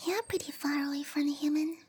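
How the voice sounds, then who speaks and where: Woman voicing a tiny, very high-pitched shy cowgirl. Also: steady tone, fast talker, close to an everyday voice. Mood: curious, excited. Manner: hesitant, apologetic, soft-spoken with breathy delivery. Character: tiny, shy young cowgirl monster girl yearning for adventure. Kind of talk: roleplay ASMR, anime-style character acting.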